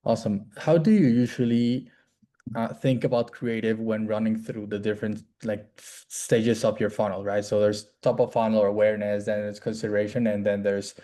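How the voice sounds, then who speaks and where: Low, mellow-voiced man asking a rambling question. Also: fairly even intonation, moderate pace. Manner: searching, self-correcting question. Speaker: community member asking a question.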